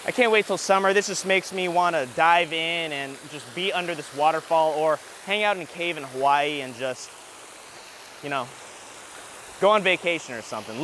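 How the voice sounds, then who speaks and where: Upbeat man giving a house tour, higher voice. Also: fairly bright tone, a little hiss or hum. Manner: enthusiastic, salesy, informal. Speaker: real estate agent hosting a house tour. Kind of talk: casual walk-through property tour to camera.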